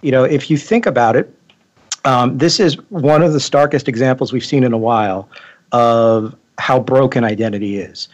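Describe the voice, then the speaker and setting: Male guest correspondent, average pitch, measured conversational commentary, slightly dull studio recording. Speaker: magazine editor, guest news correspondent. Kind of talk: conversational radio news commentary. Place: radio studio.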